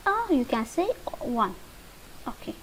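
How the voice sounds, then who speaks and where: Woman teacher, high bouncy voice, very slow drills, faint background hiss. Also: very animated. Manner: slow, drawn-out drilling with repetition. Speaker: English teacher. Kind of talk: slow beginner English lesson.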